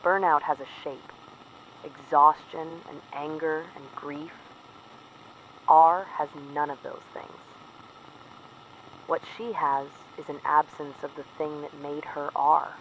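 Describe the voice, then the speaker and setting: A man playing a troubled resistance field operative, giving a precise, measured report in short sentences on a dull, noisy field recording. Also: on the high side, level tone. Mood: grave, certain. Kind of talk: scripted audio drama field report.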